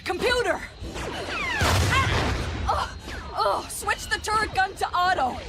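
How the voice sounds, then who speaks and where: A high, sarcastic woman's voice as a feisty space rebel pilot in an audio drama. She tosses off wisecracks over loud music. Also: wildly swooping pitch, slow pace, full character voice. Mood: urgent, exasperated.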